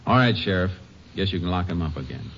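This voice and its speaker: An actor playing a calm, methodical Texas Ranger in a 1950s radio drama, speaking in terse, measured, matter-of-fact lines. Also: male voice, sits low, a little hiss or hum. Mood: firm, probing. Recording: dull vintage 1950s radio recording.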